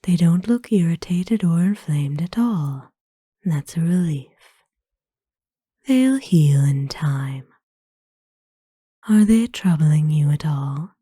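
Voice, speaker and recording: Woman playing a slow, whispering, gentle vampire mistress. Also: deep voice, animated, husky, no room sound, clean studio sound, full character voice. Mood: tender, concerned. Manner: gentle, formal, reassuring, soothing. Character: kind, doting female vampire owner of a human. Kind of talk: whispered ASMR roleplay.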